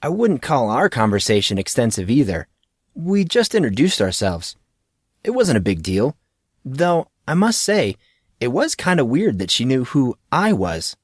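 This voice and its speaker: A man's voice: mid-range voice, very animated, unhurried, slightly rough, no room sound, studio quality. A man voicing an awkward, self-conscious teenage boy with a hesitant manner in an audiobook. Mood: modest, puzzled. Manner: hesitant, stammering.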